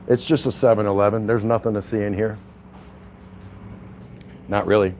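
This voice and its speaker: A man's voice: on the low side, steady tone, normal speed, a little mellow. Rambling casual man vlogging, noisy surroundings. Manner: rambling, folksy, slangy. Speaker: vlogger livestreaming a walk. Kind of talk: casual chat to viewers. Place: on a walk around a city, stopping at a convenience store. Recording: street and vehicle noise behind. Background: traffic and ambient noise.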